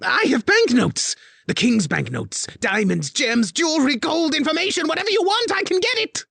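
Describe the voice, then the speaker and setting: A man's voice: high-pitched, wildly swooping pitch, a little slow, gravelly, bright, forceful tone, slightly rough, no echo, voice pushed up, heavily acted. A man voicing a panicked male spymaster who pleads and bargains frantically for his life. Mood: pleading.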